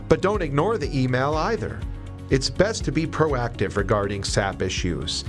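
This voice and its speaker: Male explainer narrator with lively intonation. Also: mid-range voice. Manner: clear, instructional delivery. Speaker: voice-over narrator. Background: music.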